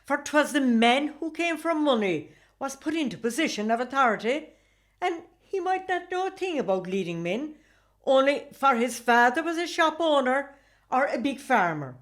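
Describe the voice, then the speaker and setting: Woman as a high-voiced, kindly Irish country housewife. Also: very animated, moderate pace. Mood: earnest. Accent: rural Irish. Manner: homely, colloquial, effusive. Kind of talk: radio play acting.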